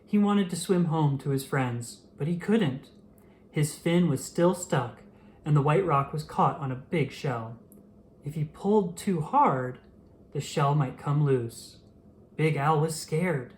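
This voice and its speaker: A storyteller reading a children's picture book aloud in an expressive, animated way. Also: medium pitch, unhurried, a little hiss or hum. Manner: animated, acts out the dialogue.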